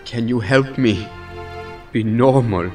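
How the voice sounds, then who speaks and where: An actor playing a frightened, bewildered young mutant outcast in an audio drama, with halting, emotional delivery. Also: male voice, medium pitch, distant mic, faint music behind, lightly acted. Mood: wary, hopeful.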